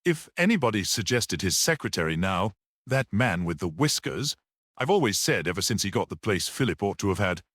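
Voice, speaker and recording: A man's voice: lowish voice, sing-song, fairly quick, a bit bright, no echo, clean studio sound. A man voicing a haughty, middle-aged wife who exclaims with acid, disdainful elegance in an audiobook story. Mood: scandalised, disdainful.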